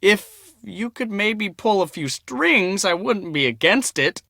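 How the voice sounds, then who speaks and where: Eager, bright-voiced young storm chaser. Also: male voice, high voice, animated, slow pace, vocal fry, heavily acted. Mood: enthusiastic. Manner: enthusiastic, casual, confiding. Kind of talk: casual scripted game dialogue.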